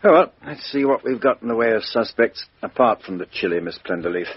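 An actor playing a brisk, courteous British police inspector questioning people in a 1950s radio mystery. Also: male voice, mid-range voice, lively intonation, slightly rough, dry recording. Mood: brisk, musing. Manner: businesslike, questioning, hesitant fillers. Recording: muffled 1950s radio drama recording.

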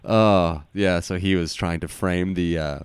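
A man with a fairly low, clear voice hosts a casual podcast interview. His humour is jokey and crude, and the sound is dry and close. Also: steady tone, unhurried, dry recording. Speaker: podcast host.

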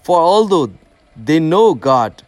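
A man from Nepal with a Nepali accent, a native Nepali speaker reading scripture very slowly and stumbling over hard words. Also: on the high side, sing-song, darkish tone, dry recording.

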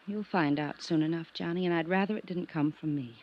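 A woman's voice: deep voice, fairly quick. Wistful, guarded woman, muffled old radio. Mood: defensive, troubled. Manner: wistful, dramatic. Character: lonely, wistful, guarded young woman under suspicion. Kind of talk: 1950s radio drama acting. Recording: noisy, muffled 1950s radio recording.

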